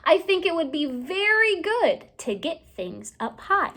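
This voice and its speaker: High-pitched, animated woman reading to children. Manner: expressive, deliberate phrasing with drawn-out words for young listeners. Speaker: teacher reading a storybook aloud. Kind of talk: children's read-aloud narration.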